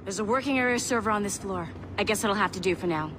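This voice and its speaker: A woman playing a sardonic office tech manager in a game scene. She talks fast at a medium pitch, casual and a little bossy, with clear noise behind her. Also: close to an everyday voice. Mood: wry, businesslike. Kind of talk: naturalistic game dialogue. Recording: clear background noise and ambience.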